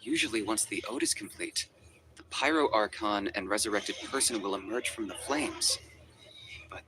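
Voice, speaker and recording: A man's voice: on the low side, normal speed, close to an everyday voice. A man voicing a composed, level-headed young warrior in a video game. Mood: calm, concerned. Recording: clearly noisy game stream capture.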